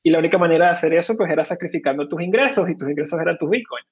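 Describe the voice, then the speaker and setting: A man's voice: a little high, racing, breathy edge, highs cut off. A man from Venezuela with a Venezuelan accent, a company cofounder explaining things at length in an interview, with many fillers and restarts. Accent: Venezuelan Spanish. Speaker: guest, crypto company cofounder. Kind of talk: conversational interview answers.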